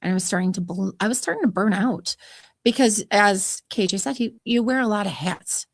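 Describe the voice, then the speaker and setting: A woman hosting a podcast talks in an upbeat, chatty way and laughs easily. Her soothing voice carries a gravelly fry. It sounds close and dry, with no room around it. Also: mid-range voice, brisk, dry recording. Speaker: podcast host. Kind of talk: conversational podcast interview.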